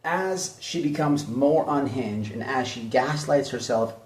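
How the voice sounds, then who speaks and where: A man's voice: mid-range voice, a little slow. A man giving opinionated drama commentary in a blunt, mocking way, hammering points home with repetition. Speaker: online commentary host. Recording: echoey room, distant mic.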